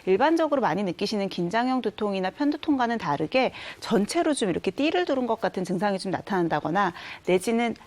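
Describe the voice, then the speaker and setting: A woman explains symptoms in a calm, clinical way, as a medical guest on a morning talk show. Her pitch is middling and she talks briskly.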